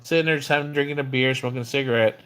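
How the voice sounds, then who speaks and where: A man's voice: mid-range voice, fairly even intonation, very fast, a bit bright, clear, resonant tone. Casual, rambling male livestreamer. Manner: rambling, conversational storytelling, slangy; acts out quoted dialogue when recounting. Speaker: live streamer. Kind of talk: casual livestream chat about another streamer.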